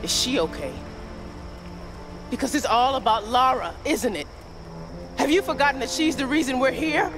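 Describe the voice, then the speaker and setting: A practical, hot-tempered survivor in a game cutscene. Her delivery is blunt and very animated, with loud music behind. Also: high voice, normal speed, long pauses. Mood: bitter. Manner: sharp, confrontational. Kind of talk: video game cutscene acting.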